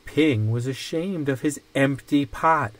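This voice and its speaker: A man reading a children's picture book aloud with deliberate, dramatic phrasing. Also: mid-range voice, slight background noise. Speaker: storybook reader.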